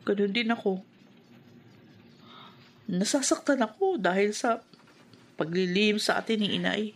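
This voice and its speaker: A woman's voice: mid-range voice, measured pace, husky. A woman with a Filipino accent playing a protective, wounded young elder sister in a radio drama. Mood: wounded, determined.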